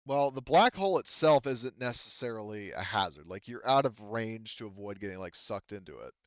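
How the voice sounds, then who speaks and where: A man improvising narration as game master in a conversational way, halting as he searches for words and casually profane. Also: fairly low pitch, lively intonation, no room sound, highs cut off. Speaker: game master of a tabletop roleplaying game.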